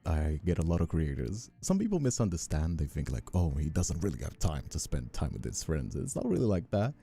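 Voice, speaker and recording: A man with an exceptionally deep, very mellow and dark voice hosts a relaxed stream chat. His delivery is conversational with animated pitch movement, over faint music. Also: fairly quick, vocal fry. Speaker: host, streamer and voice actor. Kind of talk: casual live stream chat. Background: faint background music.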